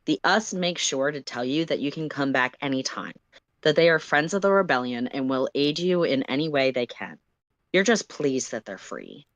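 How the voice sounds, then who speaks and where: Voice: mid-range voice, lively intonation, normal speed, a bit bright, dry recording. Close, dry-sounding story narrator. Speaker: story reader. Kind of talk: expressive fan fiction narration.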